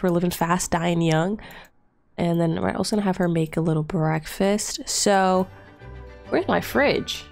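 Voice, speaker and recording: A woman's voice: on the low side, vocal fry, a little mellow. Stuffy-nosed young woman, chatty gameplay narration. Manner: chatty, rambling, with many fillers. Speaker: Let's Play creator. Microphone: desktop USB mic.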